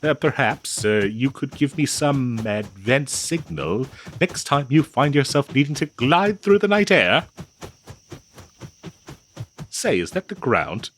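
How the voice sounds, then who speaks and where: A man playing a flustered, devoted nineteenth-century gentleman in a comedic audio roleplay, verbose and old-fashioned, with a nervous stammer. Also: mid-range voice, sing-song, takes it slowly, a little hiss or hum. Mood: nervous, apologetic.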